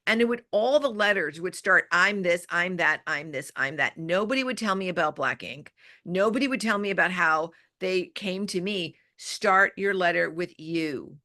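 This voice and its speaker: A woman guesting on a podcast, talking about marketing in an energetic, emphatic way and repeating her key phrases. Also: lowish voice, moderate pace. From Los Angeles area, US. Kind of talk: casual interview chat.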